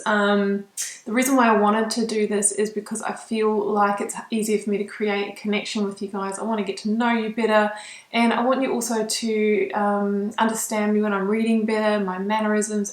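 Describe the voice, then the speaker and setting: A woman with a mixed New Zealand accent reads tarot to camera in a soft, reflective way, pausing on ums. Her voice sits at an ordinary middle pitch. The small spare room she records in gives a roomy echo. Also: flat delivery. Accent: mixed New Zealand, with British and Australian traces. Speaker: tarot reader. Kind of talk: intuitive tarot reading to camera.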